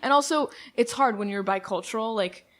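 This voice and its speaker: A woman's voice: a little high, a little fast, a bit bright. A young woman from New Jersey whose first language was Serbian, chatting casually as a podcast guest and telling stories with many "like" fillers. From New Jersey, USA. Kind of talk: casual conversational interview.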